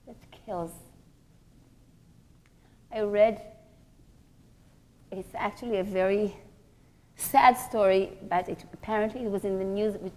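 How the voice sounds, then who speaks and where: A woman religious teacher giving a sermon-like lecture through stories, speaking deliberately and repeating phrases for emphasis. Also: mid-range voice, darkish tone.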